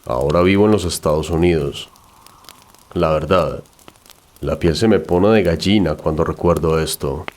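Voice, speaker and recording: A man's voice: rumbling low, normal speed, a little mellow. A man narrating a scripted first-person horror story.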